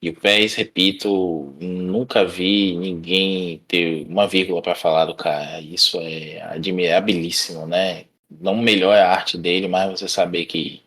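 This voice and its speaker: Deep, husky Brazilian man. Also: fairly even intonation, a little slow, hardly any pauses, a bit bright. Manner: informal, slangy, profane. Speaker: podcast panelist. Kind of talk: casual chat.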